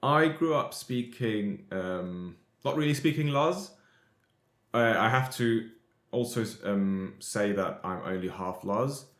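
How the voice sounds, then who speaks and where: Low-voiced man hosting in an echoey room. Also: takes it slowly, a bit bright. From Europe. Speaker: podcast host. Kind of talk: casual conversational podcast talk.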